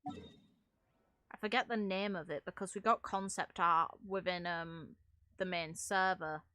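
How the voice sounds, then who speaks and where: A woman's voice: lowish voice, fairly even intonation, slow, sharp tone, dry recording, clean studio sound. A woman giving casual commentary on game lore, thinking aloud in a rambling, musing way. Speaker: gaming video creator and streamer. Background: music.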